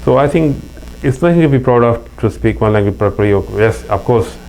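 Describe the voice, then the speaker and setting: A man's voice: medium pitch, animated, brisk, darkish tone, rough recording. A middle-aged man from Pakistan with a Pakistani accent, telling stories in an earnest interview chat. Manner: earnest, anecdotal, with frequent restarts. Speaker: interview guest. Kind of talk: casual interview talk. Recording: faint mains hum.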